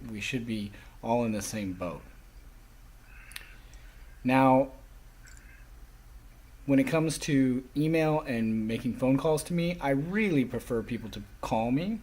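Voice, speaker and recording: Husky man giving a class lecture. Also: mid-range voice, a little mellow.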